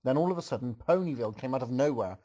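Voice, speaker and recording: A man's voice: mid-range voice, expressive, very fast, mellow. A man reading a story aloud to a group as host, with amused, joking asides.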